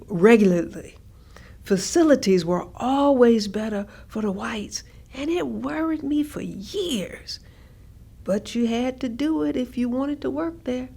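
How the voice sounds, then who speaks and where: Actress doing older lady looking back on hard times, slow n reflective, kinda high voice, stage reading. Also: warm tone. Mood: weary, wistful. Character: older woman, former hospital worker, plainly recalling segregation-era hardship. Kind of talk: staged reading of oral history.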